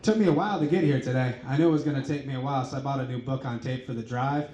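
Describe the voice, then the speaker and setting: A man's voice: mid-range voice, racing, mellow. A man performing a stand-up set on a comedy club stage, with crude, punchy jokes. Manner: crude, punchy joke delivery. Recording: echoey club with crowd noise. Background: audience crowd.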